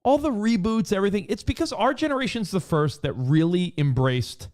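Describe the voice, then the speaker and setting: A man in his forties co-hosting a podcast in casual chat, animated and fond of acting out quoted voices. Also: sits high, a little fast. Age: 40s. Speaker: podcast co-host.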